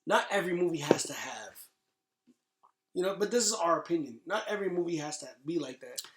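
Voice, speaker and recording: A man's voice: fairly high pitch, animated, brisk, crowd noise. A man in a casual podcast chat, slangy and agreeable, restating his points as he goes. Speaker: podcast guest. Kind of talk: casual podcast chat about movies and games.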